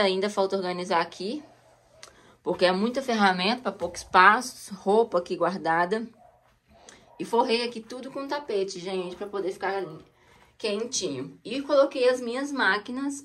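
A woman's voice: medium pitch, fairly bright tone. A woman chatting to viewers as she walks through her house, pointing things out, filmed on a handheld phone. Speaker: home vlogger. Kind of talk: casual home tour chat. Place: cold stone house basement, indoors. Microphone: handheld phone camera.

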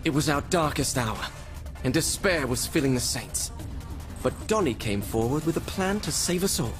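An actor playing an earnest, solemn storyteller recounting heroics in a video game, sounding self-important. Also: male voice, on the high side, lively intonation, moderate pace, faint music behind, lightly acted. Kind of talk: dramatic video game narration.

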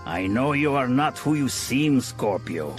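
An actor playing a refined, menacing older ruler in a video game, deliberate and theatrical in manner. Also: male voice, medium pitch, sing-song, music in the background. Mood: menacing, silky. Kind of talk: video game cutscene acting.

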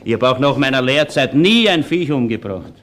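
Lively, defensive Viennese butcher boss. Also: male voice, medium pitch, moderate pace. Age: middle-aged. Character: defensive middle-aged butcher shop boss and father. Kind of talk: German-language crime radio play acting. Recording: noisy old radio play recording.

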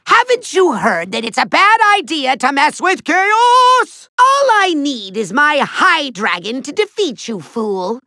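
Shrill pompous little evil overlord for a video game, swoops all over, really forceful and theatrical. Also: male voice, sing-song, no room sound, studio quality, exaggerated voice. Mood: defiant, gloating. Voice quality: shrill, gravelly. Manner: bombastic, theatrical, drawn-out emphatic words. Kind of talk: video game villain acting.

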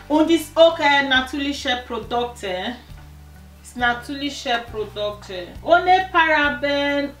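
A woman in her forties reviewing a skin serum from her sofa, in simple, halting German full of fillers. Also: fairly high pitch, pushed and bright. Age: 40s. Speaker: beauty vlogger. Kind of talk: casual product review. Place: living room, seated on a sofa. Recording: echoey room, distant mic, faint music.

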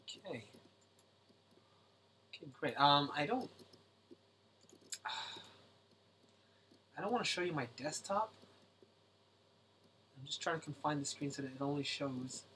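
A man hosting a casual late-night drawing livestream at home, his voice a little raspy and kept low so as not to wake anyone. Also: lively intonation, unhurried, long pauses, slight background noise. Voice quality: speaks softly to keep voice down. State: slightly raspy from singing.

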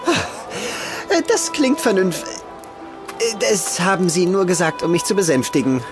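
A man's voice: high voice, sing-song, unhurried, heavily acted. A man playing a wary, loyal, fretting valet in a German audio drama. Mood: worried. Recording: music behind the voice.

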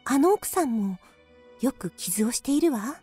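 A chatty, gossipy café waitress in a radio drama. Her high voice is soft-toned and lilting, over faint music. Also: animated, measured pace, breathy edge.

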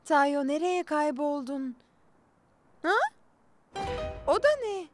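Concerned young cartoon character, very high pitch, slow pace, caring, children's dub, faint music. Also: female voice. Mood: worried.

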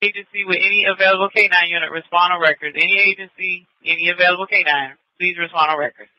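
A man's voice: sits high, steady tone, fast, no echo. A man working as a police dispatcher, reading bulletins in a formal, procedural way over the radio. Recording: dull lo-fi dispatch radio audio.

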